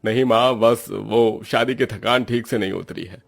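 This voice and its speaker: A man voicing an indignant, emphatic young husband with a husky voice in a moral story. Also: mid-range voice, steady tone, a little slow, soft background music. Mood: caring. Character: exasperated young husband, scolding yet protective. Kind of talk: dramatized Hindi moral story dialogue.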